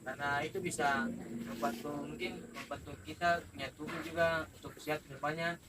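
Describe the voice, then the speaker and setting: A man's voice: on the high side, steady tone, brisk. A young man from South Sulawesi answering interview questions as an athlete. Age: young adult. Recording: distant mic, clear background noise.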